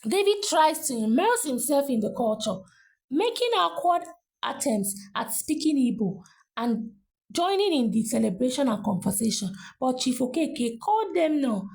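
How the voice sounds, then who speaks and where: Female narrator, high lively voice with a creaky edge, for dramatic scripted storytelling. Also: normal speed, poor audio.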